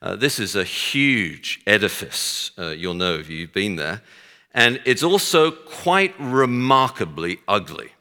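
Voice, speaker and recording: A middle-aged man with a Texan accent addresses a crowd in a big hall, his low, bright voice carrying a long reverb tail. He pauses often and slips in dry, self-deprecating jokes. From Texas. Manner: dry self-deprecating humor, frequent hesitations. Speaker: guest speaker. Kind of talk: speech to an audience.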